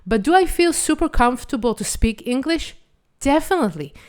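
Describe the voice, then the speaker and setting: Smooth-voiced Israeli woman teaching Hebrew. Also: high voice, fairly quick. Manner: lively, expressive explaining with playful acted examples. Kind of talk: online language lesson.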